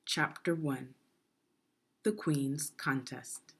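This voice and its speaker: A woman reading a children's story aloud in a deliberate, expressive teaching manner, putting questions to the listener. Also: sits low, husky. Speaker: education consultant reading a children's book aloud.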